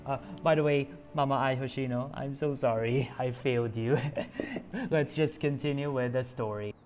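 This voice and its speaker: A man's voice: medium pitch, moderate pace, a little mellow, soft background music. A man streaming a story game, chatting casually and rambling with many hesitant fillers.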